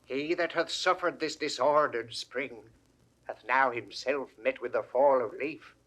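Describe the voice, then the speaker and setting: Actor as a slow, bright-voiced grave gardener. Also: male voice, mid-range voice, lightly acted, low-quality recording. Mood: grave, reflective. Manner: deliberate, declamatory verse delivery. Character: head gardener, grave, plain-spoken, humble moralizing working man. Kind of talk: 1960s classical Shakespeare audio drama acting.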